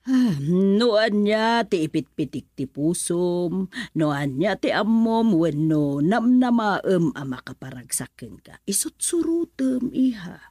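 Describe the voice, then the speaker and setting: An expressive, doubting Ilocano mother. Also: medium pitch, takes it slowly, slightly rough, dry recording, clean studio sound, heavily acted. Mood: soft, coaxing. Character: doubtful, probing older mother and confiding auntie. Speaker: radio drama actor. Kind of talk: Ilocano radio drama acting.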